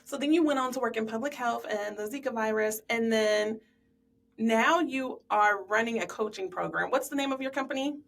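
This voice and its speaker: Podcast host: woman, upbeat and affirming, mid-high voice, recorded slightly distant from the mic. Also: normal speed, creaky. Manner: enthusiastic, affirming interviewer. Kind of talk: casual interview chat.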